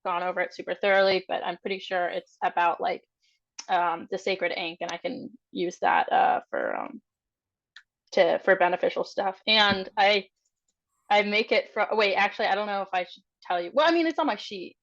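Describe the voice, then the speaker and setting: A woman's voice: mid-range voice, moderate pace, creaky, a bit bright. A woman's voice comes through muffled, lo-fi online call audio. She is a player in a tabletop game, talking casually in a tentative, hesitant way. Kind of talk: casual chat. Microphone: online group call.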